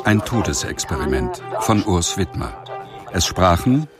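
A man's voice: low-pitched, very animated, a little slow, over music. A man formally announcing the cast and credits of a radio drama. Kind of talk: reading production credits.

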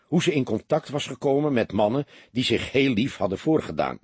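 Guy reading earnest young lad reporting to a cop, hesitant and polite, very husky, animated. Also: medium pitch, a little fast, no room sound. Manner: hesitant, deferential, explanatory. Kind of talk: audiobook character dialogue.

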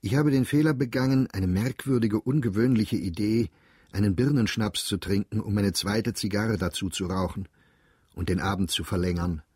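Male narrator, husky and low, dry close studio sound, calm reflective diary reading. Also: no room sound. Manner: measured, reflective, understated. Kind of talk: calm literary reading for radio drama.

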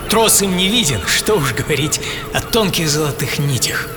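An actor playing a daring, exhilarated 25-year-old tightrope walker in a theatrical first-person radio monologue, moving between hushed pauses and fervent intensity. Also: male voice, on the high side, animated, close to an everyday voice. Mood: wry elation. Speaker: actor and author of the programme. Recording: studio voice under loud music. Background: loud dramatic music.

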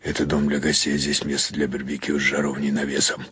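A man plays a middle-aged caretaker in a radio story, low-voiced and unsure of himself. He speaks in halting, hesitant phrases and is easily thrown. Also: monotone, fairly bright tone. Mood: flat, guarded. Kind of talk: character dialogue in radio story reading.

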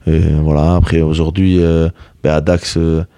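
Young male athlete, Basque native tongue, deep and mellow, thinks aloud with fillers, dry studio sound. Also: level tone, moderate pace, clear, resonant tone, no room sound. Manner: hesitant, many fillers and restarts. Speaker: rugby player, interview guest. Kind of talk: casual radio interview.

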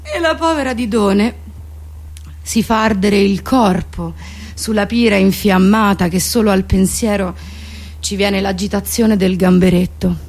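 A woman's voice: mid-range voice, unhurried, a little mellow. A woman delivering a theatrical comic monologue in a mock-solemn, rambling and colloquial way.

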